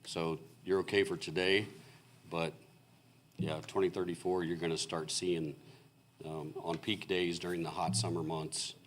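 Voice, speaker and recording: A man presenting as a consulting engineer at a city board meeting, explaining in a halting way with many fillers while he is losing his voice. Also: low-pitched, level tone. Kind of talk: technical presentation at a public meeting. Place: city council meeting room.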